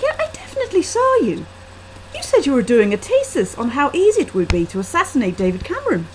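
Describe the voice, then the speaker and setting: A woman's voice: high-pitched, huge pitch swings, fast talker, voice pushed up. A woman voicing a pathetic, insistent old man with an Irish accent, in a short story. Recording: faintly noisy laptop webcam microphone.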